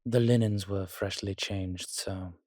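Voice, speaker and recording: Man playing a slow, low-voiced suave vampire. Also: fairly even intonation, a little mellow, dry recording, clean studio sound. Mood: weary, tender. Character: weary, suave male vampire, protective and darkly charming. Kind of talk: intimate roleplay audio drama addressed to the listener.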